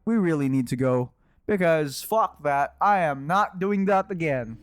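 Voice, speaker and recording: A man doing casual live gameplay commentary, exclamatory and quick to swear. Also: fairly high pitch, expressive, no echo. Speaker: game streamer.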